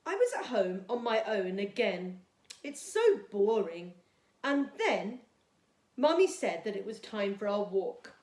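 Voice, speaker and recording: A woman's voice: mid-range voice, very animated, slow pace, darkish tone. A woman voicing a lonely, polite, timid little boy from a storybook in an earnest childlike manner. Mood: bored, wistful. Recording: echoey, roomy sound.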